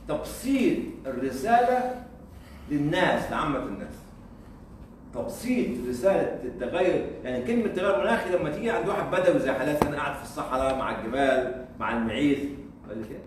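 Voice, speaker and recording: A man with an Egyptian Arabic accent giving a lively university lecture, teasing the audience with rhetorical questions. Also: medium pitch, fairly quick. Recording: echoey hall from far off, faint noise.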